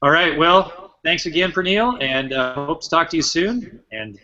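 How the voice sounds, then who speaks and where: Bright, higher-voiced American man hosting a quiz. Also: lively intonation, moderate pace, faint music behind. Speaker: show host and quizmaster. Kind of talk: playful quiz-show interview banter. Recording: dull, band-limited sound.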